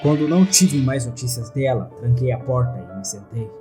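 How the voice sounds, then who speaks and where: A Brazilian man narrates a horror story in the first person. His voice is mid-pitched with a dark, soft-edged timbre. Light music plays underneath. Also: lively intonation, normal speed.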